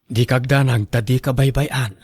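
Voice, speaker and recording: A man's voice: mid-range voice, steady tone, moderate pace, breathy edge. A man acting an earnest young student in a radio drama, with an Ilocano accent. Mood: reassuring. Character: young student son, earnest, reassuring boyfriend.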